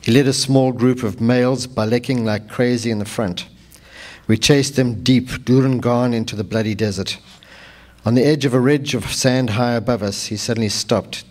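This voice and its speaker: A man with a South African accent reads from his own memoir in a fairly low voice. His delivery is literary and deliberate, with dramatic phrasing and pauses that linger. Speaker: author reading from own memoir.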